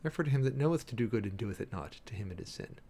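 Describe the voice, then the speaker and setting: A man's voice: on the low side, animated, rapid-fire, gravelly, dark tone, breathy edge. A man giving a dramatic, emphatic reading of an official document, breaking off often for sardonic asides and commentary as host. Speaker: host, preacher.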